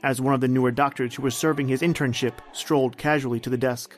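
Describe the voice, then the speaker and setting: A man's voice: mid-range voice, fairly even intonation, fast talker. A man hosting and narrating in a scripted, theatrical old-time radio storytelling style. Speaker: podcast host and narrator. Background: music.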